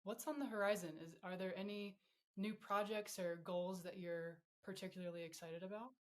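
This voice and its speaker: A woman's voice: medium pitch, steady tone, moderate pace. A woman co-hosting a podcast, asking a friendly follow-up question. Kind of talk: interview questions.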